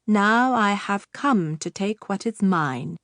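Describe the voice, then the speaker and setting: A woman reading an audiobook, voicing a sinister, cackling witch in a low, hissing voice. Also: animated, slow, fairly bright tone, no room sound, clean studio sound. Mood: menacing.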